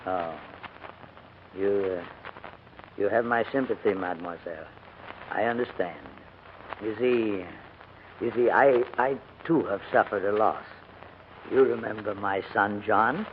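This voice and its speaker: Tinny old-radio elderly English gentleman, acted by a man. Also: lowish voice, unhurried, long pauses, slight background noise, close to an everyday voice. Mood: grieving, restrained. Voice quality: hesitant, hemming delivery. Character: courteous, dithering elderly English gentleman escorting refugee children. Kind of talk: 1940s radio drama acting.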